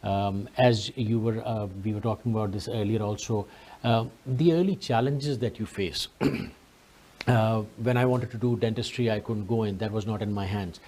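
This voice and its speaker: A middle-aged man with a Pakistani accent tells his story as a guest on a talk show. His voice is fairly low and noticeably mellow. He speaks courteously, in a conversational way. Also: fairly even intonation, normal speed. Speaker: interview guest.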